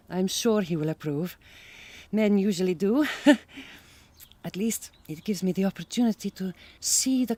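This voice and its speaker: A woman's voice: mid-range voice, warm tone, dry recording. Polite young Hungarian woman. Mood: dreamy, confiding. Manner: careful, formal phrasing. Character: young Hungarian migrant farm worker, polite, self-assured, romantic. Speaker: actress in an audio drama. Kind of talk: radio drama acting.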